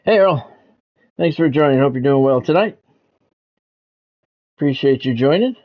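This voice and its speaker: A man hosting a game livestream, talking sports to his viewers in measured, announcer-like phrases. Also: mid-range voice, lively intonation, dull audio. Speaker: game streamer and sports commentator, former radio play-by-play announcer. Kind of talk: livestream monologue, sports talk to chat viewers.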